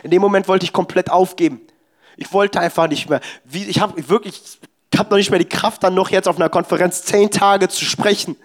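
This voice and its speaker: A young man delivers a sermon at a church service. His voice sits high and light, and he drives his points home with emphatic, exhorting insistence. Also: steady tone, fairly quick. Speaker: preacher.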